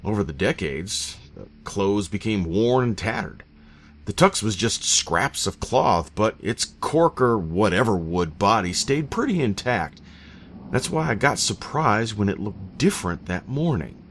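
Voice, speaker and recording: Man playing a deep-voiced, grumpy old farmer. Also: lively intonation, a little slow, a bit bright, exaggerated voice. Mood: dry, weary. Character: grumpy, weary old widowed retired farmer, self-deprecating. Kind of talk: first-person horror story narration. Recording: voice over background music.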